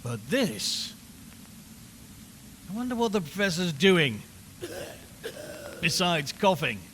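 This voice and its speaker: A man's voice: a little high, big pitch swings, slow, a bit bright, full character voice. Man acting a jittery radio reporter, cavernous reverb. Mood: rattled, wry. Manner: chatty, wry, increasingly uneasy. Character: male radio reporter broadcasting nervously from a haunted house. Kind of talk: dramatised live radio commentary. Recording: long reverberant hall, background noise.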